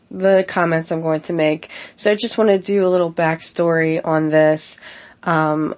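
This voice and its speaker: A woman hosting a casual podcast, getting over a head cold, talking in a halting way full of ums while giving earnest opinions. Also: lowish voice, a little mellow. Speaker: podcast host.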